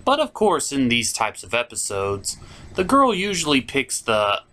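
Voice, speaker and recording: A man's voice: medium pitch, huge pitch swings, unhurried, bright, forceful tone. A man hosting a cartoon review, giving casual, sarcastic and sometimes crude commentary. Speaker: cartoon review show host.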